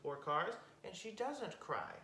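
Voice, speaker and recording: A woman's voice: fairly low pitch, very animated, takes it slowly, sharp tone, slight background noise. A woman hosting storytime for young children, explaining things simply and directly as she talks and tells stories. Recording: roomy echo, music behind.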